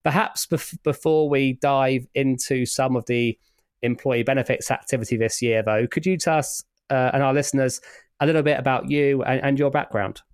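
A man hosting a podcast interview, speaking in a halting way and often repeating words. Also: mid-range voice, steady tone, smooth, dry recording, studio quality. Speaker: podcast host.

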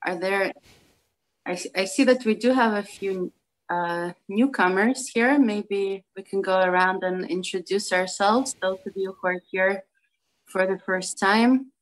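A woman's voice: mid-range voice, measured pace, poor audio. A woman from Brooklyn, co-hosting a video call meetup in a friendly, informal way. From Brooklyn, New York. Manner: friendly, informal, with fillers and restarts. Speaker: co-host.

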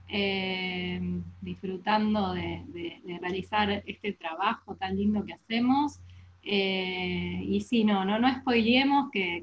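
A woman with a Rioplatense accent co-hosting a podcast, speaking in an unhurried way with fillers. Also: medium pitch, dull audio. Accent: Rioplatense Spanish. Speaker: co-host. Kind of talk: casual podcast chat.

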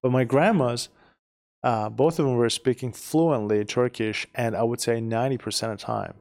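A man's voice: mid-range voice, fairly even intonation, normal speed, gravelly, a little mellow. A middle-aged man from Iran, chatting casually as a podcast guest, often repeating words while he searches for phrasing. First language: Persian. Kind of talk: casual conversation.

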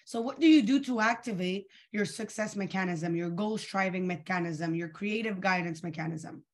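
A young woman leading a live online coaching session, energetic and asking her audience questions, her voice a little tired and worn. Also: medium pitch, moderate pace. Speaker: coach leading a weekly training call.

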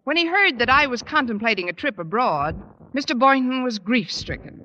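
An American woman playing a wry, quick-witted schoolteacher with a dry, sardonic delivery in 1950s radio sitcom style. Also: a little high, animated, sharp tone, highs cut off, slight background noise. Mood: wry narration. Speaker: lead actress and narrator.

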